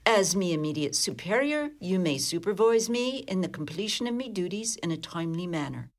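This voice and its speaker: A woman playing a defiant, sharp-tongued young Irish postal worker in a radio play, with an Irish accent. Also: fairly low pitch, expressive, a little fast. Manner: cheeky, defiant.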